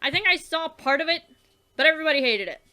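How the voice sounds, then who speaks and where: High, bright, animated young woman. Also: fast. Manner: theatrical reading, swears and trails off. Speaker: let's play host. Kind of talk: reading a webcomic aloud with commentary.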